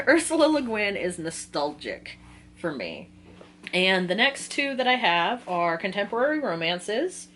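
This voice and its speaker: Faint background hum, woman in her twenties, medium pitch, casual book vlog style, giggly, informal, self-interrupting. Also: very animated, measured pace, a bit bright. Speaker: book vlogger. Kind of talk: casual chatty book list talk to viewers.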